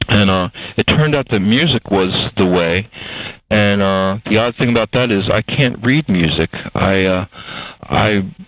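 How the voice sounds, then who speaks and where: A man in his sixties with a Delaware-Philadelphia accent talks slowly through his story, full of ums and restarts. His voice sits low and dry. It comes through a thin, tinny phone line. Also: no echo. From Delaware, USA. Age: 60s. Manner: rambling, hesitant, many fillers and restarts. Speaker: guest. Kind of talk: casual interview talk.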